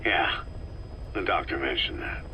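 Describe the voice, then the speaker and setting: An actor playing a weary, battle-scarred middle-aged soldier in a video game, giving terse, understated replies. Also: male voice, very deep voice, animated, a little slow, bright, forceful tone, a little hiss or hum, exaggerated voice, poor audio. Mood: terse, subdued. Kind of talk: dramatic video game dialogue.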